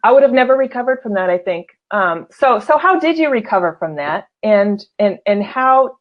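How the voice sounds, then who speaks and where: A woman's voice: medium pitch, lively intonation, normal speed, dull audio. A woman in her forties, a show host, interviewing a guest in an enthusiastic, effusive way, often restarting her sentences. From California. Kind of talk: casual interview conversation.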